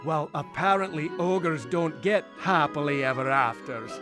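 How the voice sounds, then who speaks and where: A man plays a blunt cartoon sidekick in a game. The voice is high for a man and slow-paced, with music clearly behind. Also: close to an everyday voice. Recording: music under the voice.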